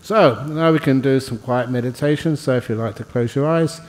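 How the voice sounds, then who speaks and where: A man leading a guided meditation as a monk and teacher, speaking informally in short, unhurried phrases with pauses and gentle humour. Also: medium pitch, big-room sound, low-quality recording. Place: indoor meditation hall.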